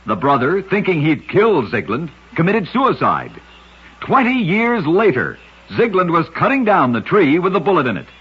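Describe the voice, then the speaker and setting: Muffled vintage radio storyteller, husky-voiced man. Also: fairly high pitch, wildly swooping pitch, normal speed. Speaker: radio narrator of strange true stories. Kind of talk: 1940s radio scripted narration. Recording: muffled old 1940s radio recording.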